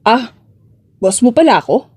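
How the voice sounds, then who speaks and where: Lovestruck male boss, read by a woman, tender and teasing, mellow delivery for an audio romance. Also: a little high, lively intonation, normal speed, breathy edge. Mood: flirty, teasing. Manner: tender, flirtatious. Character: Cairo, sweet teasing male boss in love.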